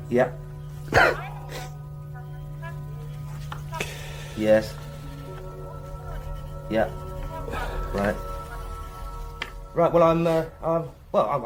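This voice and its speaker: An actor playing a loyal, cheerful police sergeant, a deferential and gently teasing sidekick in a radio drama. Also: male voice, a little high, takes it slowly, long pauses, soft background music. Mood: polite, flustered.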